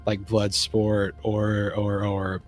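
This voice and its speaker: A man's voice: lowish voice, monotone, takes it slowly, soft background music. A man chatting casually on a stream, rambling enthusiastically and often trailing off and restarting.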